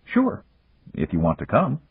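A man voicing a suave, casual businessman in an audiobook, breezy and gallant with a gently teasing way of talking. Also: fairly low pitch, huge pitch swings, unhurried, gravelly, breathy edge, exaggerated voice. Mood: casual, pleased. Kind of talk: audiobook character voice. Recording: echoey room, muffled narrow-band sound.

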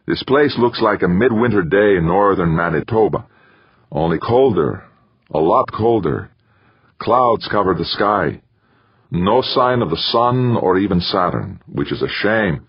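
A stranded space explorer , acted out by a male narrator, reports in plain colloquial speech. His low voice is husky and resigned, in a slightly muffled audiobook recording. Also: no echo, heavily acted. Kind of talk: audiobook character dialogue.

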